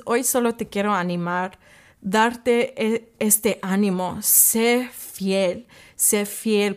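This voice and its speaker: Woman, native English speaker, podcast host, unhurried pace, medium pitch, earnest devotional encouragement, halting delivery, emphatic repetition. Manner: earnest, halting and searching for words, repeating key phrases for emphasis.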